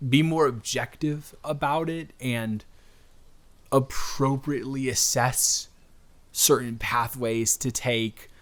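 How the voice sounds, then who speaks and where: A man acts out a wholesome, flirty male stranger in an intimate audio roleplay. He speaks at a medium pitch, straight to the listener. His delivery is rambling and hesitant, earnest and reassuring, with a gently teasing streak. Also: close to an everyday voice.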